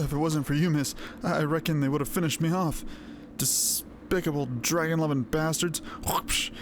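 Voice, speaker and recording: A man voicing a wounded, grateful knight in a fantasy game, earnest and halting, bitter toward his enemies. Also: mid-range voice, slight background noise, natural voice. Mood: pained, bitter. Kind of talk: acted fantasy game dialogue. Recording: music behind the voice.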